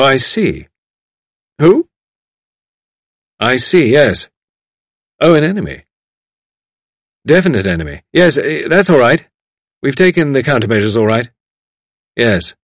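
A guarded, wry master of the house voiced by a man in an audiobook. The delivery is clipped and musing, with animated pitch and a dry, close sound. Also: slow, long pauses, no room sound. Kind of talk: audiobook character voice.

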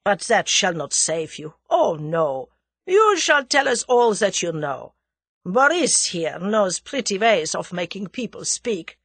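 A woman voicing a menacing, excitable German man who leads a gang of conspirators, threatening and demanding. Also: fairly high pitch, a little slow, hardly any pauses, no echo, voice pushed up.

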